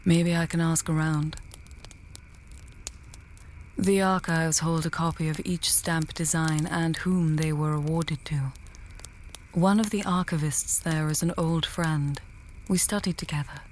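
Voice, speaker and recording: A scholarly female mage from a fantasy audio drama, acted by a woman, speaks in a fairly low voice. She is earnest and measured, reasoning aloud with a dry, testy edge. A noisy ambience sits under her. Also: steady tone, a little mellow. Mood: grave, resolute.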